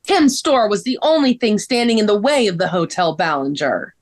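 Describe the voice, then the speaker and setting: A woman's voice: mid-range voice, lively intonation, normal speed, lightly acted. A woman playing a plucky, upbeat amateur sleuth in a scripted table read, with an eager manner. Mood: righteous.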